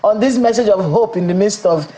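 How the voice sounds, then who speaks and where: Mellow-voiced morning show host. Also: mid-range voice, brisk, poor audio. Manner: conversational, lively questioning. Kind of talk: morning TV interview. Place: TV studio.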